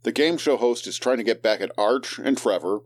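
A man playing a curt, stiffly formal character in an audio drama, clipped and deadpan. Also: medium pitch, moderate pace, close to an everyday voice. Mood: curt, dry.

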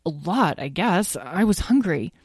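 A woman's voice: lowish voice, big pitch swings, measured pace, darkish tone, slightly rough, dry recording, clean studio sound, full character voice. A woman voicing a young male dragon in a children's story, sickly and stubborn, with a whiny, pleading, defensive manner. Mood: miserable, sheepish.

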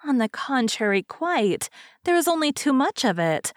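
A woman's voice: high voice, sing-song, moderate pace, dry recording, studio quality, pitched above the performer's own voice. A woman voicing a vain, chatty old fairy princess in a storybook, gushing and rambling on tactlessly but good-naturedly. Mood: cheerful, chatty.

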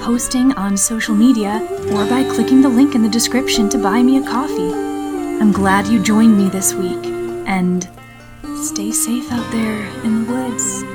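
Slow-paced woman narrating a podcast trailer. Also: on the high side, over loud music. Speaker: writer and narrator of a fiction podcast.

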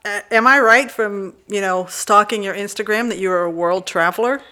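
A woman hosts a relaxed, casual conversation. Her voice is mid-pitched and bright, and she talks informally, reacting readily to what she hears. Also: moderate pace, smooth. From born in Belgium, moved away at six. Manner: informal, responsive, interjecting. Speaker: interview host. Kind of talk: casual interview chat.

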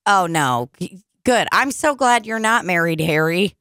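Brash co-host woman, bright lively voice, swears a lot. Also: lowish voice, measured pace, clear, resonant tone, no room sound, clean studio sound. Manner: crude, loud, swears often. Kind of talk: casual chat.

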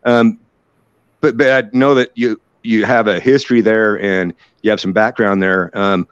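A man's voice: lowish voice, clean tone, no echo. A man hosting an interview podcast, asking loose, conversational questions. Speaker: podcast host. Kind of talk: casual interview chat. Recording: dry, dull lo-fi sound.

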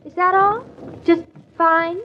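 An actress playing a naive, talkative young expectant wife in an old-time radio drama, artless and questioning. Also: female voice, very high-pitched, fairly even intonation, takes it slowly, faint music behind. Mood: fishing, hurt. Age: young adult. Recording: dull, lo-fi old radio recording.

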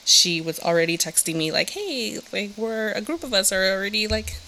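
A woman's voice: mid-range voice, animated, normal speed, bright, forceful tone, slight background noise, poor audio. A young woman from Southern California, co-hosting a podcast and chatting casually, laughing often and saying "like" a lot. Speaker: podcast co-host. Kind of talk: casual chat.

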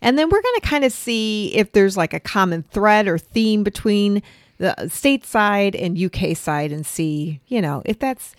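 A woman's voice: medium pitch, measured pace, no room sound, studio quality. A middle-aged woman, co-hosting a casual music podcast and telling stories in a chatty way. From northern Illinois, US. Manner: chatty storyteller, animated retelling. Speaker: podcast co-host. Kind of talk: casual chat.

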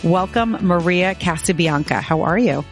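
A woman's voice: lowish voice, moderate pace, faint music behind. A woman hosting an interview podcast, eager and chatty, talking in long run-on stretches. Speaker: podcast host.

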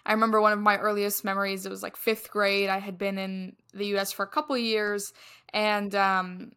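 A woman's voice: medium pitch, flat delivery, fairly quick, bright, forceful tone, smooth. A young woman from Egypt co-hosting a podcast, chatting casually and poking fun at herself. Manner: chatty, self-deprecating, laughs while talking. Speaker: podcast co-host.

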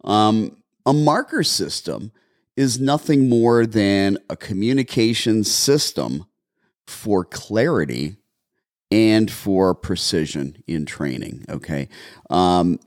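A man's voice: fairly low pitch, lively intonation, slow, dry recording, studio quality. A man co-hosting a podcast as a dog trainer, explaining training in an emphatic, casual way with many ums.

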